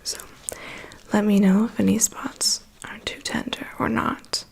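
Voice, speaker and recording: Slow whispering woman as a vampire's assistant. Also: medium pitch, level tone, a little mellow. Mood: attentive, clinical. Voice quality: breathy whisper. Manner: slow, unhurried, murmured reassurances and dry asides. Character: vampire's human assistant, woman, calm, prying, dryly nonchalant. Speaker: ASMR performer. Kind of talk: ASMR roleplay, personal attention.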